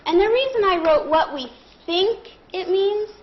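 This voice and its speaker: A woman leading a read-aloud lesson as a primary teacher, deliberate and instructive, thinking out loud. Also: extremely high pitch, animated. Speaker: primary school teacher reading aloud to a class. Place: classroom. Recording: muffled classroom recording with clear background noise.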